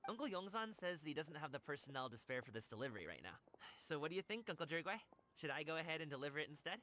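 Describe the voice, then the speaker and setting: A man's voice: a little high, very animated, quick pace, pushed and bright, slight background noise. An actor playing an eager, kind-hearted young delivery man in a video game, friendly and polite. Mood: earnest. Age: young adult. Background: game music.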